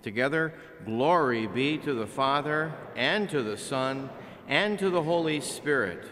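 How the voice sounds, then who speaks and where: An older man preaching a conversational sermon from a pulpit in a large chapel, swinging between loud, animated lines and hushed ones, picked up by a pulpit mic. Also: mid-range voice, measured pace, a little hiss or hum. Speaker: pastor. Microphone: pulpit microphone, filmed by a far camera. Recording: long chapel reverb.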